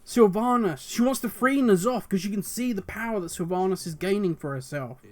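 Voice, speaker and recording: A man with a notably high voice, his throat worn and going hoarse. He rambles passionately through his thoughts, musing aloud as the host of his own commentary video. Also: expressive, normal speed, a little mellow. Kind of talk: solo commentary on game lore.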